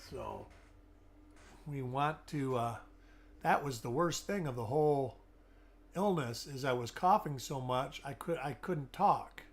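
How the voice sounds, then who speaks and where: A man's voice: medium pitch, unhurried. A middle-aged man hosting a live coin-hunting stream with a weak, fading voice after an illness. He chats along, greeting viewers by name while looking over coins. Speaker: host.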